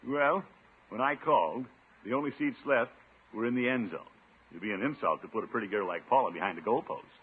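An actor playing a pompous, blustery, thrifty middle-aged uncle in a chuckling, theatrical 1950s radio sitcom style. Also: male voice, mid-range voice, brisk, breathy edge. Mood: flustered, defensive. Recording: noisy, phone-like old radio recording.

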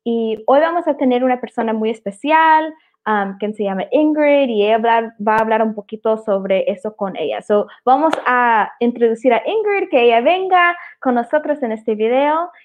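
A tinny, phone-like recording of a woman with a fairly high voice. She hosts a casual, chatty interview and slips English words into her Spanish. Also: normal speed. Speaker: host interviewing a guest. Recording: tinny, phone-like call audio.

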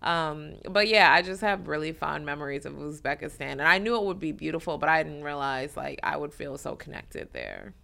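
A woman's voice: low-pitched, very animated, fairly quick, creaky, few pauses, pushed and bright. A woman, a guest chatting casually in an interview, laughing often and full of "like" and "um". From Detroit, USA.

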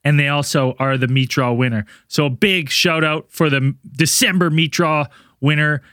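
A man's voice: on the high side, fairly even intonation, moderate pace, fairly bright tone, dry recording, studio quality. A man co-hosting a podcast, talking in a loose, rambling way and laughing often while he announces giveaways and tells stories. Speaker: podcast co-host. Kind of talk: casual chat.

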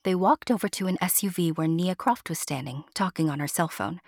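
Low-voiced woman telling a playful story. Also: brisk, darkish tone, dry recording, clean studio sound. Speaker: storyteller, narrator. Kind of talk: scripted storybook narration addressed to the listener.

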